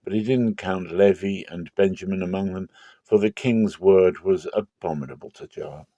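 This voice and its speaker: Low-pitched man reading scripture, dry sound. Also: moderate pace, warm tone, no echo, highs cut off. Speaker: scripture reader.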